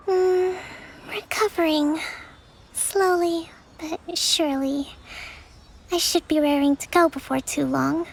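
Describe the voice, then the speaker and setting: An actress voicing a young female divine priestess who leads an island's army, speaking in a soft, measured way in a game. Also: high voice, creaky, low-quality recording. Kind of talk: game voice acting. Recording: game audio with faint music.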